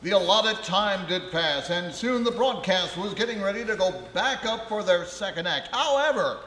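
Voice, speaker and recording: An actor playing a self-aware omniscient narrator, theatrical and grandiose, in a live comic radio play. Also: male voice, high-pitched, animated, moderate pace, pushed and bright. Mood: grandly dramatic. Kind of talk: dramatic scripted narration. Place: large ballroom, live before an audience. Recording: echoey hall, live stage performance.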